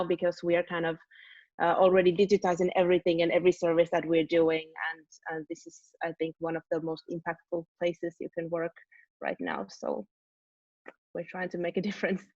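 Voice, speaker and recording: Woman in her thirties, lowish voice, casual presenter, dry muffled video call sound. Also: a little mellow, no echo. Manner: casual, filler-heavy, trailing off. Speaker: workshop presenter, designer. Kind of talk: online workshop presentation.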